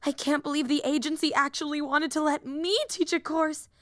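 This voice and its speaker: A high-pitched, excitable young superhero played by a woman for an anime-style audio drama. She is a nerdy, earnest rambler who gets flustered, apologizes and cuts herself off. The recording is dry and close, with no room sound. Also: moderate pace, dry recording. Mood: earnest, enthusiastic. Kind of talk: roleplay audio drama acting.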